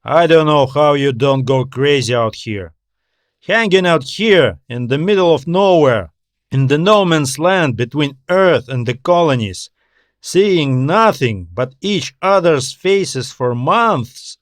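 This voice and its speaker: A male narrator acts out an overworked customs chief from a science fiction audiobook. He is a tired, anxious man with a friendly, wry way of talking and a slightly high voice, recorded dry. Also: lively intonation, takes it slowly, dry recording.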